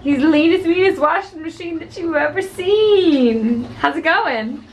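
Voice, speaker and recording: A woman's voice: very high voice, a little slow, a little hiss or hum. A young woman in her twenties chatting casually on a travel vlog, playful and teasing, often giggling. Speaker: travel vlogger. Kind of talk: casual chat.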